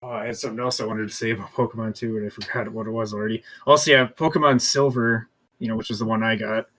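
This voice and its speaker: A man's voice: fairly low pitch, steady tone, fast talker, fairly bright tone, a little hiss or hum. A man in his thirties talking casually as a podcast co-host. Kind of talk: casual chat.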